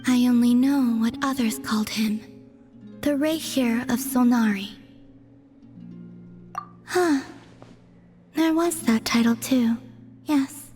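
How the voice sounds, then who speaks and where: A woman voicing a calm, detached and mysterious young woman who speaks softly and formally. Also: fairly high pitch, slow, long pauses, music in the background. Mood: serene. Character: serene, mysterious young woman, a former Fatui agent. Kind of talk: game cutscene voice acting.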